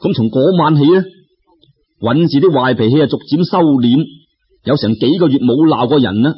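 A man's voice: medium pitch, expressive, moderate pace, slightly rough. A man telling a folk tale in Cantonese, colloquial and dramatic, peppered with exclamations and sound words. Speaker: storyteller. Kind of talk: animated folk-tale narration. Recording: slightly dull, lo-fi audio.

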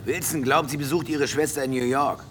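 An actor playing a domineering rich philanderer in a radio play, blunt and commanding. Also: male voice, medium pitch, steady tone, a little fast, over music, lightly acted. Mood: dismissive.

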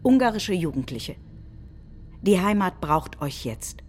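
A woman's voice: on the low side, very animated, a little slow, soft background music. A woman playing a combative nationalist head of government, delivering a political speech in a staged reading, solemn and declamatory.

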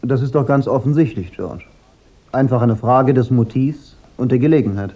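A man playing a cool, sardonic private detective in a German radio crime drama, speaking in a dry, measured, laconic way. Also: mid-range voice, dark tone, close to an everyday voice. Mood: controlled, accusing. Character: cool, sardonic private detective, unmasking killers with weary confidence. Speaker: detective and first-person narrator.

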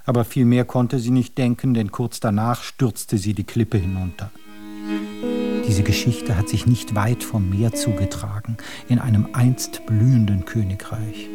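A man's voice: lowish voice, steady tone, measured pace, over loud music, natural voice. A man playing the grandiloquent storyteller of a grim, absurd fairy tale, narrating a scripted radio drama straight to the listeners. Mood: matter-of-fact. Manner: grandiloquent, addressing listeners directly.

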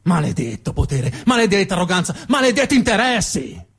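A man's voice: high-pitched, very animated, a little fast, faint music behind. A man acting a weary, embittered veteran of the First World War in a rhetorical stage monologue. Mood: bitter, indignant.

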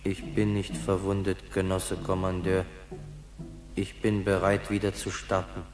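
An actor playing a solemn, resolute Soviet fighter pilot in a dream scene of an old radio play, declaiming slowly. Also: male voice, sits low. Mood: solemn, devoted. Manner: slow, solemn, monotone declaiming. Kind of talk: 1960s German radio play acting. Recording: long hall reverb, music underneath.

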